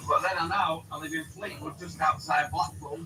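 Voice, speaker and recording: A man's voice: fairly high pitch, fairly even intonation, moderate pace, distant mic, over loud music, rough recording. A man, sending a casual voice note as a radio listener.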